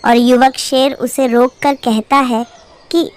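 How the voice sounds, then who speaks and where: A woman narrating an animated moral story in a scripted storytelling style. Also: high-pitched, takes it slowly.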